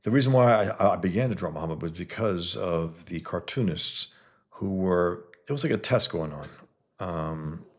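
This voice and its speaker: A cartoonist from the Bronx, talking casually to followers on a livestream, with many fillers and restarts. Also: male voice, deep voice, lively intonation, normal speed. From the Bronx, New York. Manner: greets viewers mid-talk.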